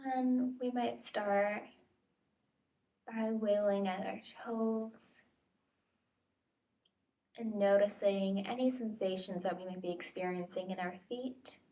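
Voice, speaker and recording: A woman facilitator leading a guided body scan meditation with gentle, tentative invitations and pauses, recorded at home. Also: mid-range voice, steady tone. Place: at home, indoors. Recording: home recording, distant mic.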